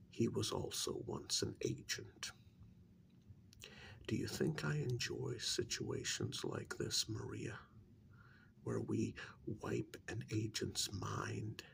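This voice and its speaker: A man playing a stern, disappointed senior agent of a secret government agency in a soft-spoken ASMR role-play, breathy and gravelly, with a deliberate, formal, condescending manner. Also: on the low side, slight background noise, full character voice. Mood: exasperated, grave. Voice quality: breathy, half-whispered, gravelly.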